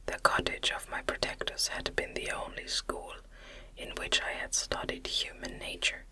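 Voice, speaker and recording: A woman reading a gothic novel as ASMR, in a gentle, breathy, unvoiced whisper with a measured delivery. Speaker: audiobook narrator.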